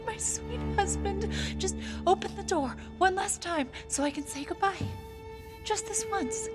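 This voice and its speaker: Desperate gothic wife, very high voice, slow delivery, melodramatic, music underneath. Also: female voice, lively intonation. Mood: frightened pleading. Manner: pleading, anguished, melodramatic. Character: desperate, anguished wife locked away, pleading and accusing. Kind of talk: gothic audio drama acting.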